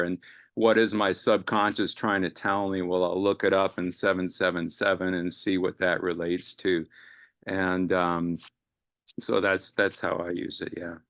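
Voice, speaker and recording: A man on a panel in a casual roundtable discussion, talking in a relaxed way and thinking aloud with fillers. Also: deep voice, dry recording, clean studio sound.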